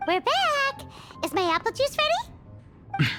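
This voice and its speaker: A woman voicing a small, excitable, childlike fairy companion in a video game, with a chirpy, squeaky delivery. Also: slow pace, over loud music, exaggerated voice. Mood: excited. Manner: squeaky, chirpy, refers to self in third person.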